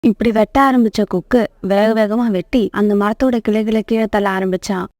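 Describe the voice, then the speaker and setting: A woman's voice: medium pitch, steady tone, brisk, darkish tone, no echo, studio quality. A woman narrating a scripted moral story for children.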